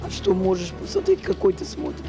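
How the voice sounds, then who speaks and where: A woman's voice: extremely high pitch, sing-song, brisk, gravelly. A woman doing informal vox-pop interviews, asking persistent, coaxing questions. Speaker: street interviewer. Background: music.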